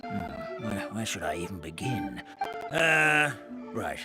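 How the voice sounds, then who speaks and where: A man's voice: deep voice, big pitch swings, slow pace, exaggerated voice. An actor playing a fussy, absent-minded old male hamster elder made of paper, rambling with many hesitations. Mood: storytelling. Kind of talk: video game voice acting. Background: game music.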